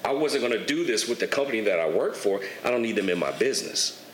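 Laid-back young man, low and roomy. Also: expressive, quick pace. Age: 20s. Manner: laid-back, candid storytelling with casual swearing. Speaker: guest, barber and clothing entrepreneur. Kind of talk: casual podcast conversation. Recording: echoey room with faint noise.

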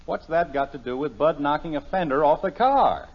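Exasperated, sarcastic dad, swooping delivery. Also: male voice, a little high, animated, moderate pace, husky. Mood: exasperated, skeptical. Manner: dry sarcasm, wordy, mock-formal. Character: exasperated, sarcastic suburban father of three. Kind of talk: vintage radio sitcom acting. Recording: muffled old radio broadcast recording.

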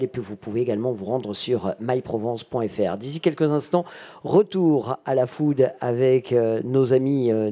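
A man hosting a live radio broadcast from a festival, chatty and upbeat, often pausing with hesitations. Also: medium pitch, dark tone. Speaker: radio host. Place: outdoor festival broadcast stand.

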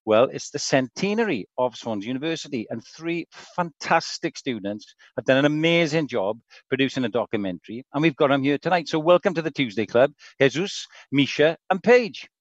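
A man's voice: mid-range voice, animated, a little fast, few pauses, no echo, highs cut off. Upbeat man hosting a podcast. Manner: enthusiastic, talks up guests. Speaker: podcast host. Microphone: video call.

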